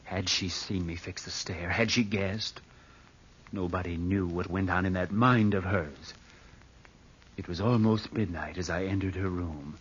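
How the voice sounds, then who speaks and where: An actor playing a coldly scheming, murderous nephew in an old-time radio drama, speaking with careful deliberation. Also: male voice, low voice, steady tone. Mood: guarded. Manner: slow, deliberate, hushed narration and hesitant dialogue. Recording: muffled vintage radio recording with faint noise.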